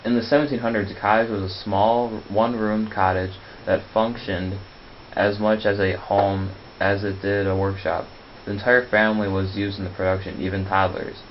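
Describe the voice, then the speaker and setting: A man narrates from a script in a fairly low, very even voice. He reads plainly and trips on words now and then. The recording is dull with faint hiss. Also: normal speed. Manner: flat reading, stumbles over words.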